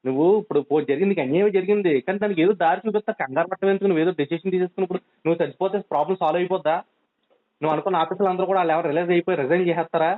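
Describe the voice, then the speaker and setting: A man with a coastal Andhra Telugu accent speaks at a racing pace over a thin phone line. His voice sits high for a man, and he keeps urging and scolding insistently, repeating his points. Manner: insistent, admonishing, repeats himself. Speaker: friend on a phone call. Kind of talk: urgent, pleading phone conversation. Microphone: telephone. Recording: tinny narrowband phone call.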